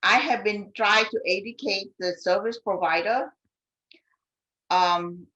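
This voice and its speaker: A woman who speaks English as a second language, talking earnestly and haltingly in a panel discussion. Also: mid-range voice, pushed and bright, highs cut off. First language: not English. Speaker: immigrant and refugee advocate on a panel.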